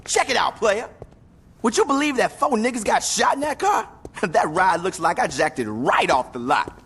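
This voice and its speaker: A cocky male hustler from a crime game. He brags in slang, his high voice bouncing excitedly, with faint noise behind. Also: moderate pace, exaggerated voice. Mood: pleased, cocky. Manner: slangy, boastful. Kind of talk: video game cutscene acting.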